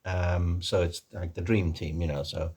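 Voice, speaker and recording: A man putting on an American accent as a rambling, self-deprecating video presenter with a husky voice, in a casual vlog style. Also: low voice, little pitch movement, normal speed, darkish tone. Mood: self-mocking, chatty. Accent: put-on American. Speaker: video host and actor.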